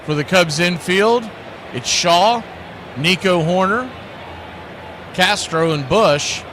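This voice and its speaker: Male play-by-play caller, folksy and fond of nicknames, fairly high voice, noisy ambient backdrop. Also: slow pace, fairly bright tone. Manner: folksy, uses nicknames and catchphrases. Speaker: baseball play-by-play announcer. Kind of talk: live sports commentary.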